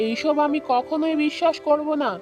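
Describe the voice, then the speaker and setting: A woman's voice: high voice, a little fast, music in the background, close to an everyday voice. A woman voicing an anxious, agitated young man in a children's cartoon, with dramatic urgency. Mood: indignant.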